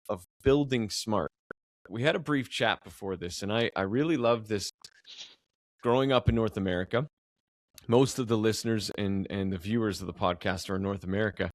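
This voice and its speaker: A man's voice: fairly low pitch, no room sound. Podcast host guy, upbeat, kinda repeats himself, dry close mic. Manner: upbeat, enthusiastic, repeats words. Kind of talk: podcast interview.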